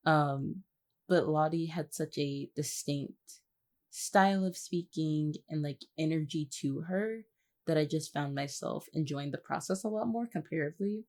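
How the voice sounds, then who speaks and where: A woman's voice: low-pitched, moderate pace, darkish tone. A woman co-hosting a casual podcast discussion, talking in a hesitant, self-deprecating way. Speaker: voice actor and podcast co-host. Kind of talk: casual chatty behind-the-scenes discussion.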